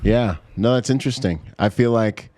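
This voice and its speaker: A man's voice: on the low side, normal speed, darkish tone, dry recording. A man in his thirties from the Bahamas, co-hosting a podcast in a reflective, affirming way. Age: 30s. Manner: reflective, affirming, says "yeah" often. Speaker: podcast co-host. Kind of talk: casual podcast conversation.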